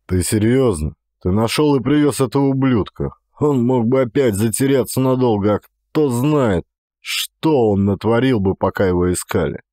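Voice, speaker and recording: A man's voice: mid-range voice, expressive, moderate pace, mellow, no echo, clean studio sound, natural voice. A man playing a blunt but loyal police detective in an audiobook thriller, speaking in a terse, matter-of-fact way. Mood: frustrated, grave.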